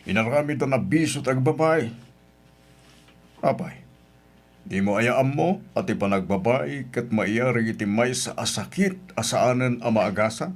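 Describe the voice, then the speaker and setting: A man's voice: medium pitch, animated, unhurried. A man acting a protective middle-aged father in a radio drama, speaking in a cautious, admonishing, paternal way.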